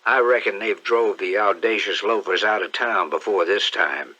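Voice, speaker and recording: A man voicing an old country gentleman with a slow, deliberate drawl. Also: fairly low pitch.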